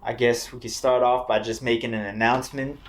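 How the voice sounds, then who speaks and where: A man's voice: mid-range voice, little pitch movement, fairly quick. A man with an American accent hosting a podcast from a house, talking in loose, profane banter. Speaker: podcast host. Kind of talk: casual chat. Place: grandparents' house, indoors.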